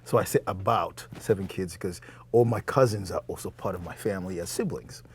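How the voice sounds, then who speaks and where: A man in his thirties from Zambia, a singer-songwriter guest talking casually in a TV studio, animated and quick to laugh. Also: low-pitched, husky. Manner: animated, laughs often, repeats words. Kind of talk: casual interview talk. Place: TV studio set.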